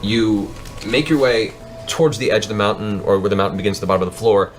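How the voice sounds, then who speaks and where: A game master narrates a tabletop fantasy in a low male voice. He builds scenes with descriptive, dramatic storytelling while music plays clearly underneath. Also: fairly even intonation, quick pace.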